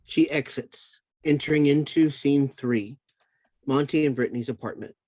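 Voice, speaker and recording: Brief: male reader of stage directions, plain and even, dry close sound. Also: medium pitch, moderate pace, dry recording.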